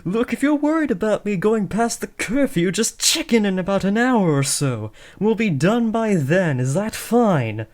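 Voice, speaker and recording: A man's voice: sits high, sing-song, normal speed, hardly any pauses, darkish tone. A young man playing a villain's teenage son in a scripted roleplay, taunting theatrically and slipping into sheepish, casual talk. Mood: flustered, bossy. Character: teenage villain's son playing at kidnapping, cocky but awkward. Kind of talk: scripted roleplay audio addressed to the listener.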